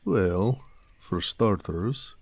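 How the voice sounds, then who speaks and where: Orc blacksmith, male actor: big gentle male, low and dark, very unhurried, humble and fond, intimate audio drama. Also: animated, vocal fry, breathy edge, no echo, exaggerated voice. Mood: curious, fond. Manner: unhurried, soft-spoken, musing, with small chuckles and old-fashioned phrasing.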